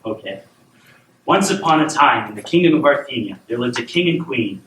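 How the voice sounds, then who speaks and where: A man playing a warm, firm father who reads his daughter bedtime stories, in scripted drama. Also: medium pitch, expressive, brisk, lightly acted. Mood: storytelling. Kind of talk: scripted drama dialogue and storybook reading. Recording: roomy, distant, noisy room sound.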